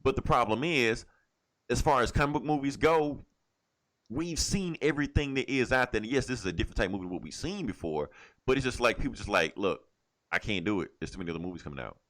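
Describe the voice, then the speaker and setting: A man's voice: medium pitch, expressive, fast talker, a bit bright. A man talking casually and emphatically as a podcast co-host, giving opinions and repeating phrases to drive his points home. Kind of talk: casual opinionated pop culture podcast talk.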